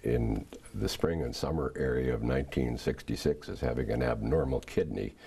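An older man giving a testimony in a TV studio interview, answering in a halting way with many restarts. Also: very deep voice, creaky, dark tone, husky. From Canada. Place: small TV studio set, indoors.

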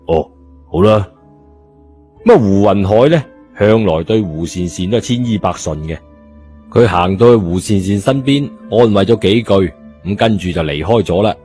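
Low-pitched Cantonese male storyteller. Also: lively intonation, normal speed, mellow. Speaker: audiobook narrator. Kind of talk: colloquial storytelling narration. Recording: background music under the voice.

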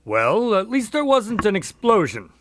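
Earnest doctor sidekick for radio drama male actor, polite, plainspoken, lightish pitch with lively inflection. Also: unhurried, slight background noise, exaggerated voice. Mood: practical, cautious. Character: earnest, mildly puzzled male doctor, detective's practical sidekick.

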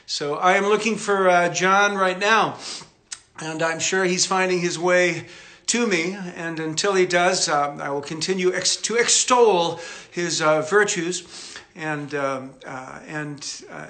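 A man in his sixties hosting a livestream from a cluttered room at home, speaking earnestly and haltingly with many fillers. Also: sits high, sharp tone. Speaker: host. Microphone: phone camera.

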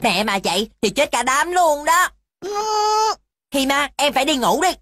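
A woman's voice: high voice, lively intonation, moderate pace, a bit bright, a little hiss or hum. A woman voicing a mischievous, cheeky little boy of about five in a cartoon dub, drawling as if talking to himself. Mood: anxious, bossy. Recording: music behind the voice.

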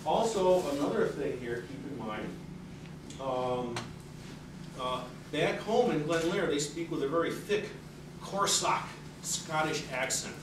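A man's voice: on the high side, animated, slow pace. A middle-aged man giving a public lecture, talking conversationally with many fillers and restarts. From native English speaker. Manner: conversational, many fillers and restarts, reads quotes aloud with rising energy. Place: carpeted seminar room with audience. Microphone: room mic, away from the speaker at a lectern. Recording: very echoey room from far off, with noise.

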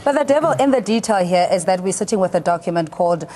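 South African woman hosting, mellow lowish voice. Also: expressive, quick pace. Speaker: TV panel host. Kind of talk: current affairs interviewing. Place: TV studio.

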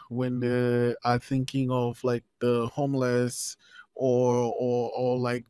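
A man hosting a podcast interview from a home studio, speaking slowly and attentively into a studio mic while wearing headphones. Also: mid-range voice, darkish tone, smooth, no room sound. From Canada. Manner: attentive, slow, frequent short affirmations. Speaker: podcast host. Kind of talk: casual interview chat. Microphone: studio condenser mic with headphones.

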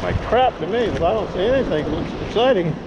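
A man's voice: high voice, lively intonation, quick pace. A man mumbling a half-to-himself running commentary while he searches a beach with a metal detector, recorded on a body-worn action camera. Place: windy open beach by the surf. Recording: dull lo-fi sound with loud wind and surf. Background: wind and crashing waves.

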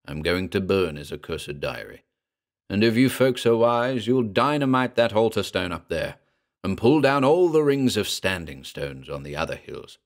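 A man delivering scripted horror drama narration in a grave, measured storytelling manner. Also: on the low side, very animated. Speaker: narrator and announcer.

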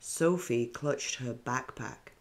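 A middle-aged woman reading a children's picture book aloud with expressive narration. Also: a bass voice, slow pace. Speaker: children's story reader.

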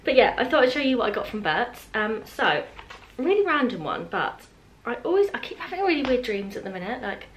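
A woman's voice: a little high, sing-song, brisk, few pauses, slight background noise. A woman in her twenties with a British accent, chatting informally to the camera as a vlogger, drifting into little asides. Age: 20s. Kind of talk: casual chat to camera, unboxing. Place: open-plan living room at home, indoors.

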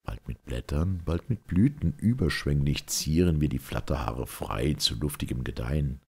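Very deep, gravelly man reciting verse. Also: animated, darkish tone. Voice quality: gravelly, husky. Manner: solemn, theatrical, measured. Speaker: reader of classic literature. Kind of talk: dramatic verse recitation of a classical play.

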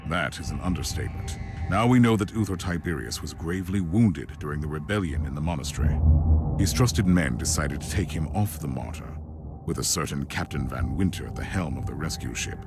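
Grim warrior inquisitor in a game cutscene, crazy deep voice, solemn n commanding, very formal. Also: male voice, animated, a little fast, music in the background. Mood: grave, intent. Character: grim, resolute male inquisitor, battle-hardened warrior of the Emperor. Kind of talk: video game cutscene acting.

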